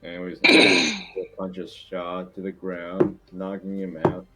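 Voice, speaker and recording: A man's voice: deep voice, monotone, takes it slowly. A man reading out scripted narration as an amateur table read narrator, informally and stumbling over the script.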